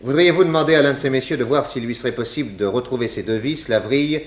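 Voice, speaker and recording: A man playing a shrewd, courteous private detective in a radio serial, speaking in a deliberate, inquisitive, polite manner. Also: medium pitch, lightly acted. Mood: courteous, directive. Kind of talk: old French radio detective serial acting.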